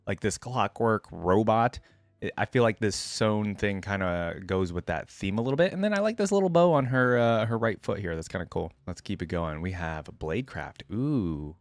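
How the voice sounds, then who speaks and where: A man's voice: on the low side, expressive, moderate pace, no room sound. A man giving casual, off-the-cuff reaction commentary, thinking aloud in an informal way. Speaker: reaction video host.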